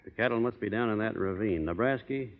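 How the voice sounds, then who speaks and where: Low, commanding posse boss played by a man. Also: brisk, lightly acted. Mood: controlled, commanding. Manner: terse, order-giving. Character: steady, commanding male cowboy posse boss. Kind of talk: 1930s radio western acting. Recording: tinny, reverberant old radio broadcast.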